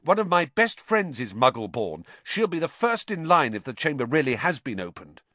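A man plays a young schoolboy hero from a storybook. He is insistent, brave and fierce, with animated intonation and a dry, close sound. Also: on the high side, moderate pace, no echo, voice pushed up. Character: brave, stubborn schoolboy hero, urgent and questioning.

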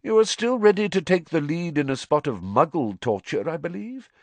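A cold, cruel dark lord, as a man puts him on, speaking softly with measured, lazy menace. His voice sits a little raised and hushed, rising and falling a lot across each line, in a dry storybook reading. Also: on the high side, sing-song, no echo, pitched above the performer's own voice.

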